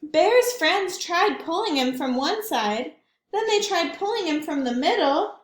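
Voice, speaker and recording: A woman teacher reads a picture book aloud to young children in a gentle, questioning way. Her voice is high and she takes her time. The room sounds echoey. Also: lively intonation, a little slow. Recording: roomy echo.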